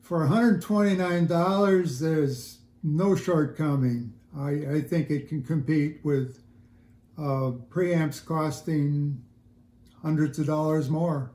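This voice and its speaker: An older man chatting casually in an interview as a hobbyist, with a halting, hesitant delivery. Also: on the high side, steady tone, mellow. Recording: roomy echo, faint music.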